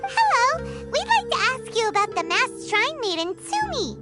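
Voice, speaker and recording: A woman voicing a small, childlike fairy companion in a video game, squeaky, bubbly and expressive. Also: normal speed, full character voice. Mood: curious. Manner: bubbly, expressive, refers to self in third person. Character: small childlike floating fairy girl companion, chirpy and talkative. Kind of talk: video game character voice acting. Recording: loud background music.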